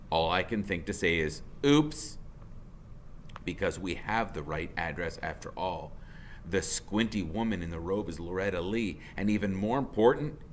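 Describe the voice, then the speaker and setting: A man narrating a first-person story aloud in an expressive, dramatic way. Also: lowish voice. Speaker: audiobook reader, teacher.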